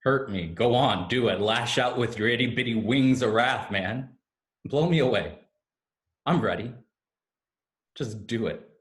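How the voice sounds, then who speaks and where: An actor playing an intense man who urges a lost fairy to remember himself, in deliberate, poetic lines of a staged play reading. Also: mid-range voice, natural voice. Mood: goading. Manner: deliberate, taunting then earnest, poetic. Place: remote staged reading over video call. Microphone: video call microphone.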